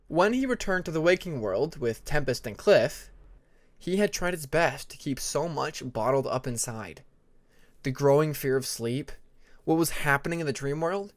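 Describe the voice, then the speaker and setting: A man narrating fiction dramatically as an audiobook reader, with deliberate, measured phrasing. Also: on the high side, dry recording.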